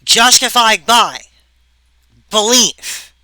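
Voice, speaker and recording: A woman giving a recorded philosophy lecture, halting and full of wry asides to the viewer. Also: a little high, bright, forceful tone, dry recording.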